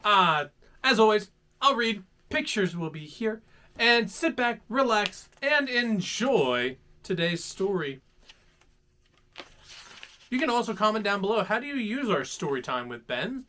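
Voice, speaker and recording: A man hosting a children's story time, reading aloud in a playful, dramatic, animated way. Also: sits high, a little slow. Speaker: host reading children's stories.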